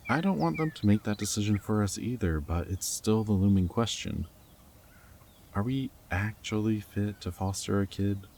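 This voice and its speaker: Very low-voiced, tender goofy rebel scientist played by a man. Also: animated, normal speed, vocal fry, a little mellow, slight background noise. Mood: worried. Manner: tender, rambling, earnest. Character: goofy, affectionate young male rebel lab scientist and anxious foster parent. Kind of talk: intimate scripted roleplay audio drama, speaking to the listener.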